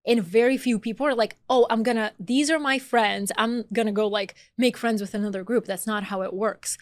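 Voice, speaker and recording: A woman's voice: a little high, steady tone, brisk, no echo. A woman in her thirties, originally from Bulgaria and raised in the American South, talking casually as a podcast guest, with lots of "like" fillers and easy laughter. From born in Bulgaria, raised in Atlanta, Georgia. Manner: chatty, frequent "like" fillers, laughs easily. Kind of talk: casual conversational interview.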